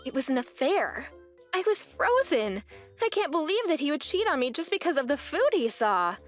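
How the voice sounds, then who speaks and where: A wronged young wife tells her story in a manga dub, acted by a woman. Her voice is very high and swings with indignation and resolve. Light music plays behind her. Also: moderate pace. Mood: shocked. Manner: expressive, indignant. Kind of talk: scripted manga dub narration.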